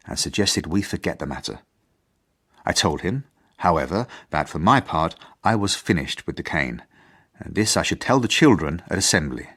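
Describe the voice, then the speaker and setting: A man's voice: sits low, fairly bright tone, full character voice. A man acting an earnest, fussy British headmaster in a radio drama, formal and self-important as he narrates his journal. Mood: conciliatory, rueful. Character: earnest, idealistic, fussy male school headmaster. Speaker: actor.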